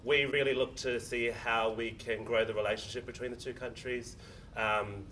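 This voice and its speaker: A man's voice: mid-range voice, flat delivery, normal speed, fairly bright tone. A man giving brief, casual and friendly remarks to an audience. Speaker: embassy representative. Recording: distant mic with faint noise.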